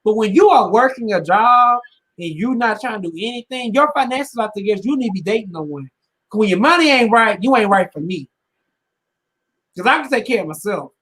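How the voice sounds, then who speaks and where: A woman's voice: mid-range voice, expressive, a little fast, a bit bright, husky, rough recording. A woman in her twenties, a podcast co-host, giving blunt, emphatic opinions in slangy casual chat. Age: 20s.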